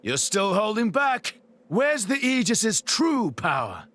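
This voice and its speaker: Cocky, high-pitched swashbuckling prince. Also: male voice, sing-song, takes it slowly, full character voice. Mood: playful, teasing. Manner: boastful, teasing, theatrical delivery. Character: cocky flamboyant crown prince swordsman, theatrical show-off. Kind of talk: video game voice acting. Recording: loud music under dialogue.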